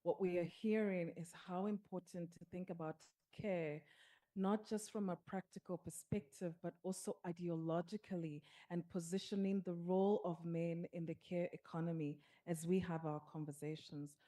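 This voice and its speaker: A woman moderating a panel, giving formal closing remarks in a steady summing-up manner. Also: fairly low pitch, moderate pace.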